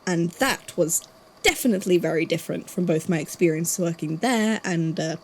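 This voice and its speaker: A woman playing a guarded, thoughtful investigator in an audio drama, speaking haltingly. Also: fairly low pitch, slight background noise, close to an everyday voice. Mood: hesitant, thoughtful. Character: guarded, puzzled investigator from a supernatural archive.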